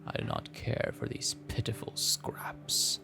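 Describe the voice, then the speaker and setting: A man voicing a menacing female forest monster in a visual novel, haughty and contemptuous, with a breathy, guttural voice and slow, formal speech. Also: in a whisper, close to an everyday voice.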